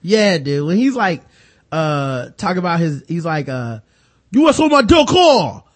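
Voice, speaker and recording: A man's voice: a little high, big pitch swings, measured pace. An American man hosting a casual, profane film discussion, animatedly retelling scenes and acting out mock dialogue. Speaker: movie review podcast host.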